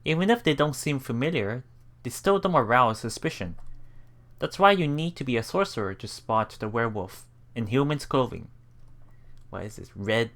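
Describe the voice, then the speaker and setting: A man's voice: medium pitch, expressive, normal speed, a bit bright. A man hosting a let's play, reading visual novel text aloud and adding casual, reactive asides. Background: game music.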